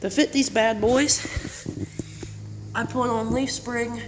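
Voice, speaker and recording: A man's voice: very high voice, fairly even intonation, slow pace. A congested man with a cold hosts a casual, rambling car walkaround and often corrects himself. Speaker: channel host. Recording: long reverb, clear background noise.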